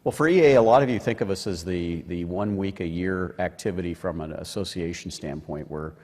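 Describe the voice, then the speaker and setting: A man's voice: low-pitched, a little fast, darkish tone. A man speaking as a conference panelist, informally presenting the work of an organization. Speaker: panelist, aviation association president. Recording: echoey hall with long reverb.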